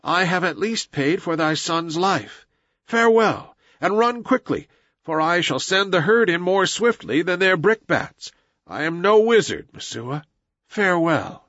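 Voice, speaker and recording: A man acts out a proud, defiant jungle boy from a storybook. The voice is raised high and swings boldly, with lofty, declamatory delivery. The recording is dry and a little muffled. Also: lively intonation, a little slow, a bit bright, dry recording, heavily acted. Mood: urgent, bitter. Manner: lofty, declamatory, archaic phrasing.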